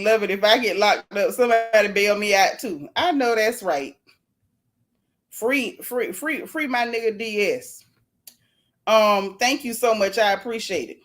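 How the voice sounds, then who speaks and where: A woman hosting a casual live gossip stream, reading viewer comments aloud and reacting in a blunt, sassy, animated way. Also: medium pitch. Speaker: livestream gossip host.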